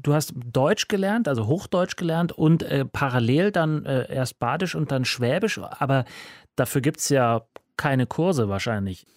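A man hosting a radio talk show, asking questions in a relaxed, conversational way. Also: mid-range voice, a little slow, dry recording, clean studio sound. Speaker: radio host. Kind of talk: radio interview.

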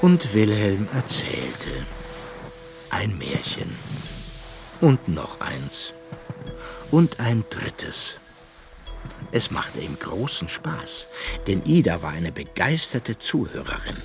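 Storybook narrator, lowish voice, very animated melody, telling a kids christmas tale. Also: male voice, takes it slowly, creaky, pauses often, exaggerated voice. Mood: storytelling. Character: expressive fairy-tale narrator. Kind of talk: scripted children's story narration. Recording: studio radio play with music.

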